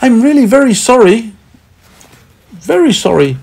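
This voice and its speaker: A bumbling, foolish man from a children's storybook, put on by a male reader. His voice sits very high and swoops about. He sounds flustered and exclaims his words. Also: sing-song, unhurried, gravelly, a little mellow, husky, heavily acted. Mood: apologetic, meek.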